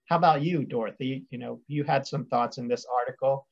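A man's voice: medium pitch, brisk, gravelly. Man in his thirties, friendly, thoughtful, lots of fillers, muffled lo-fi webcam sound. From West Virginia. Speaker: attorney. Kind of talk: video call conversation. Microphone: video call webcam. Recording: dull lo-fi webcam audio.

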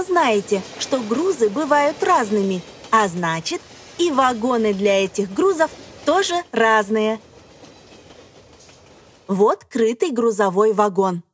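High-pitched woman narrating for children. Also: a little hiss or hum. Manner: instructive, addressing children with questions, deliberate phrasing. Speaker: narrator of an educational children's cartoon. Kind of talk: scripted narration for young children. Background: music.

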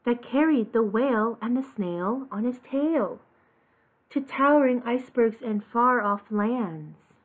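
Female teacher type for picture-book reading: expressive, slow-paced, on a narrow, dull home recording. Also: on the high side. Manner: expressive, asks listeners questions. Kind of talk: children's picture-book read-aloud. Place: living room at home. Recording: dull, phone-like home video audio.

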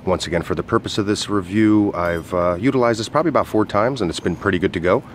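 Male gear reviewer, low register, informal hesitant how-to delivery, faint ambient noise behind. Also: fast talker. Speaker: product reviewer and outdoor coach. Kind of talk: casual product demonstration and review.

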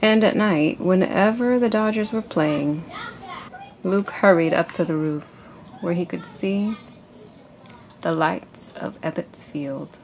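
Low-pitched, creaky woman reading a storybook. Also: slow, long pauses, darkish tone. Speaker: parent reading a children's book aloud to a child. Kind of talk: read-aloud picture book narration. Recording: noisy amateur home recording.

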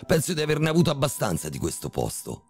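A man playing a furious, brutal middle-aged criminal father who shouts vulgar threats in a horror story reading. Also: medium pitch, wildly swooping pitch, fast talker, slightly rough, soft background music, pitched above the performer's own voice, heavily acted. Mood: enraged.

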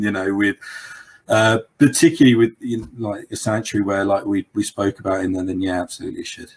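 A man's voice: lowish voice, breathy edge. A man answering audience questions as the expert guest on a webinar video call, in a rambling, informal way full of "you know".

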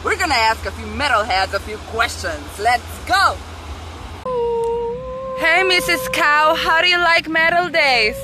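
A woman's voice: sits high, measured pace. A young woman interviewing people on a handheld mic outdoors at a festival at night, playful and teasing, with frequent laughs. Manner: giggly, teasing, animated. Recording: noisy crowded outdoor festival recording. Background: crowd chatter and noise.

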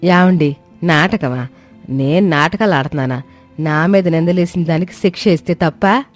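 A woman's voice: lowish voice, normal speed, soft background music, natural voice. A woman voicing a domineering, scheming middle-aged mother-in-law with a commanding, self-righteous way of talking. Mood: indignant.

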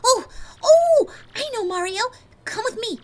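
A woman voicing a perky, excitable young female Goomba student in a video game, talking in sassy valley-girl slang. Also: very high-pitched, unhurried. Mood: excited. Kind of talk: video game dialogue voice acting. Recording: game music behind the voice.

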